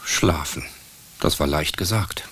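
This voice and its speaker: A man with a deep, husky voice narrates a radio play. His delivery is scripted storytelling. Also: slow, a bit bright.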